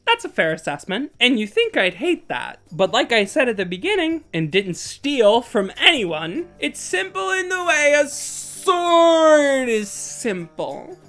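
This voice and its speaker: A woman's voice: sits high, very animated, a little slow, few pauses, a bit bright, soft background music. A woman narrating a scripted video essay with opinionated, playful, self-deprecating casual asides. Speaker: video game reviewer and narrator.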